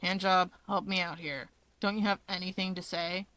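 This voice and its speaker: A woman's voice: fairly low pitch, steady tone, normal speed, sharp tone, dry recording. An exasperated older brother from a story, voiced by a woman. He nags in a subdued, terse way, anxious under the sarcasm. The recording is very dry. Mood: gruff, reassuring. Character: weary, nagging, anxious, sardonic older brother.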